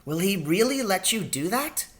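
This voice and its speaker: A young boy, read by a man from a storybook, curious and easily astonished. The voice is lifted and bright, with short, simple questions and answers. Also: high-pitched, sing-song, moderate pace, voice pushed up. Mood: curious, surprised. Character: curious, wide-eyed young boy, astonished by grown-ups.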